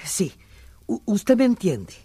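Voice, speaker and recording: A woman playing an anxious, superstitious older village mother in a radio drama, with a slightly husky voice. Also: medium pitch, very animated, takes it slowly, mellow, no echo, heavily acted. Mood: grave warning.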